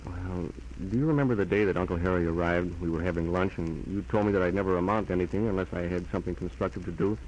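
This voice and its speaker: An actor playing a self-doubting, lovestruck younger brother in a 1940s American radio drama, speaking hesitantly with stammers. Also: male voice, low voice, fast talker, hardly any pauses, darkish tone, highs cut off, natural voice. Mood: dejected, confessing. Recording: noisy old 1940s radio recording.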